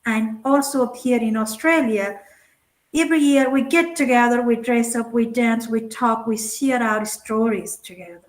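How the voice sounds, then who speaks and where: Casting: middle-aged woman from Nepal, second-language English, slightly high voice, earnest keynote speaker with plenty of "um" and restarts. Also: moderate pace, rough recording. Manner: earnest, many fillers and restarts. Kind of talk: conference keynote talk.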